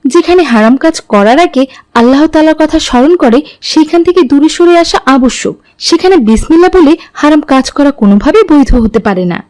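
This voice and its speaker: A woman playing a gentle mother who teaches children in a cartoon. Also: high voice, animated, normal speed, no echo, rough recording. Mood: gently instructive. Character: gentle, instructive mother and aunt. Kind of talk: didactic cartoon dialogue.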